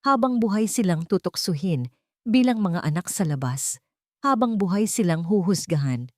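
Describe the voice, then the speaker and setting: A woman's voice: mid-range voice, animated, normal speed, a little mellow, dry recording, close to an everyday voice. A scheming woman rival acted out by a female storyteller in an audio romance. She is insincere and cruel under a sweet surface, talking down to her listener. The sound is dry. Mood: cold, taunting.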